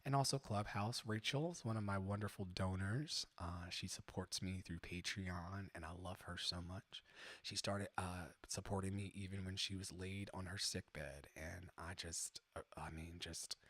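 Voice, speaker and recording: A man hosting his own live music stream, chatting softly and a bit rambly between songs, thanking viewers and fiddling with his mic settings. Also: low voice, fairly even intonation, moderate pace. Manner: soft-spoken, rambling, grateful asides to viewers. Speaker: live-streaming singer and host. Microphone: vocal microphone with EQ and compression.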